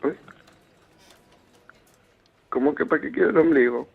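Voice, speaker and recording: A man's voice: on the high side, measured pace, gravelly, husky. A young man with an accent from Spain, talking casually and reactively over the telephone. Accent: Spanish from Spain. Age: young adult. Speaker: prank call victim, journalism student. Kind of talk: casual reactive phone conversation. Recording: dull, lo-fi phone line.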